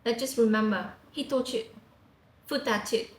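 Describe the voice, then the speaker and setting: A young woman with a Vietnamese accent, excitably teaching travel phrases in a casual vlog style and repeating them for practice. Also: a little high, normal speed. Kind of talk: casual chatty lesson. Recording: roomy echo.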